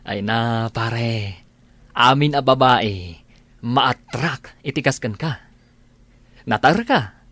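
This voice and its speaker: A man's voice: mid-range voice, slow pace, long pauses, fairly bright tone, dry recording. An actor playing an easygoing male friend in a radio drama, with a casual, reassuring manner. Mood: encouraging. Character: breezy, encouraging male buddy of the suitor.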